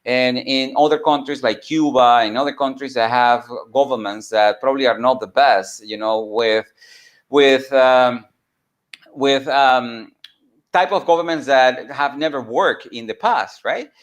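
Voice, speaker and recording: A man from Venezuela, a native Spanish speaker with a Venezuelan accent, telling his story informally as an entrepreneur and mentor, in an earnest, halting way that repeats phrases. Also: mid-range voice. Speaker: entrepreneur and mentor giving a talk.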